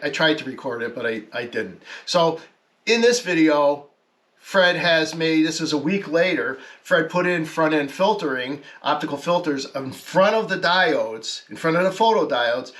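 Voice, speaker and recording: A man with a slightly high-pitched voice presents a hobby radio project in a casual, explanatory way. He talks haltingly through the technical details, stopping to correct himself as he goes. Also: fairly bright tone. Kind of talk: casual explanatory video talk.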